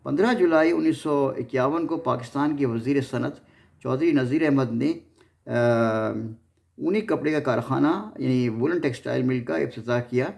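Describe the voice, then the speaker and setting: A man's voice: medium pitch, normal speed, creaky, a little mellow. A man with a Pakistani accent hosting an informal history narration, often stumbling and repeating his words as he goes. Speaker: host of a history series.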